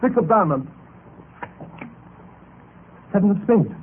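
High-pitched, frail, frightened gambler. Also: male voice, big pitch swings, heavily acted. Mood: tense. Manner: drawn-out, highly strung, melodramatic. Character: frail, terrified male gambler of a suicide club. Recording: muffled, noisy old radio recording.

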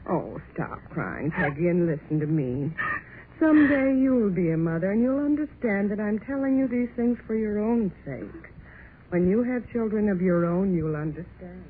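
Actress as a stern nagging mother, sweeping pitch. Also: very animated, normal speed, close to an everyday voice. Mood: insistent pleading. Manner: admonishing, emphatic, repetitive. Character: stern, scornful, nagging mother of a grown daughter. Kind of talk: 1930s radio drama acting. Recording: muffled, noisy 1930s radio recording.

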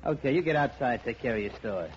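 A man's voice: mid-range voice, expressive, quick pace, close to an everyday voice. A man playing a cocky, thuggish racketeer with slangy, menacing tough-guy talk in an old radio crime drama. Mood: gruff, impatient. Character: cocky thuggish slot machine racketeer, gloating brute. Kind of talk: 1940s-50s radio crime drama acting. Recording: scratchy, muffled old radio recording.

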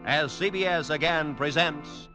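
A man's voice: on the high side, a little slow, sharp tone. An American man reading scripted announcements in vintage radio announcer style. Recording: vintage radio broadcast, music underneath.

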